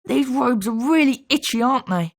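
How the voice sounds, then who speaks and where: Slightly high young wizard pupil, gossipy, conversational, clear diction, video game. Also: female voice, lively intonation, moderate pace. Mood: gossipy, annoyed. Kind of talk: scripted video game voice lines.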